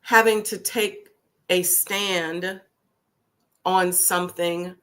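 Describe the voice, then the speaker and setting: A woman hosting a casual talk stream, her voice deepened by a cold, talking slowly with many pauses and ums. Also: fairly even intonation, a bit bright. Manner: slow, drawn-out, many ums. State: deep voice from a cold. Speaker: host.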